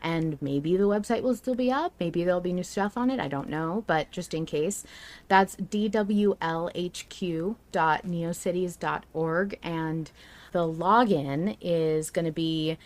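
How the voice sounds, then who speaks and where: A woman's voice: on the low side, measured pace. A woman hosting a podcast in casual, informal and enthusiastic chat with her guests. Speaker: podcast host.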